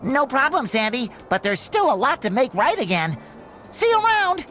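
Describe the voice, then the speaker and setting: A very high, wildly swooping young cartoon sponge. He is bubbly, naive and eager, exclaiming earnestly with game music behind him. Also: sing-song, normal speed, bright, forceful tone, heavily acted. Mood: cheerful. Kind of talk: cartoon video game acting.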